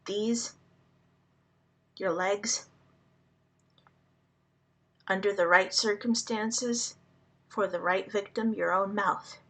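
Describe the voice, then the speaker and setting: Woman, native Hungarian speaker, earnest monologue, halting deliberate phrasing, mid-range voice. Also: medium pitch, fairly even intonation, fairly bright tone. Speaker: vlogger addressing the public.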